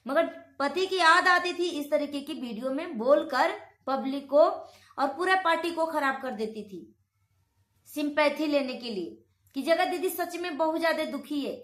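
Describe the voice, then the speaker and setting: Woman, high voice, accusatory gossip commentary, emphatic repetition, roomy echoing recording. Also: lively intonation, unhurried, fairly bright tone. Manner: accusatory, repeats phrases for emphasis. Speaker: online reaction commentator.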